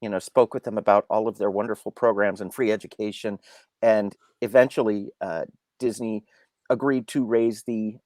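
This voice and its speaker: A man reviewing a film in unscripted discussion, halting and repeating himself as he searches for words. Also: fairly low pitch, steady tone, a little mellow, no room sound, clean studio sound.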